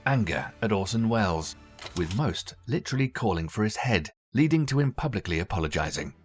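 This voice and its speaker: A man reading scripted documentary narration in a measured, formal way. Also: lowish voice, lively intonation, husky, faint music behind.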